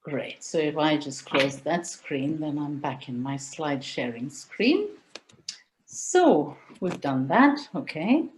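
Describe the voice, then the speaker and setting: A middle-aged woman who grew up in India, presenting an online webinar over a video call in a measured, step-by-step way. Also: low-pitched, expressive, a little hiss or hum, rough recording.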